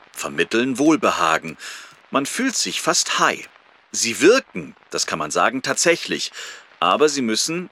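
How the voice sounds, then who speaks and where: A man's voice: on the low side, bright, forceful tone, full character voice. An actor playing a male surgeon who looks back candidly on his illness in a reflective, emphatic audio drama monologue. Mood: candid, cautionary. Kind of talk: first-person audio drama monologue, read from memoir.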